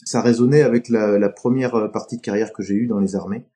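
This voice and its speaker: Very dark-voiced halting man. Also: fairly low pitch, steady tone. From France, Atlantic coast. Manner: halting and reflective, pauses often mid-sentence. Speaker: podcast guest. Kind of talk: casual interview talk.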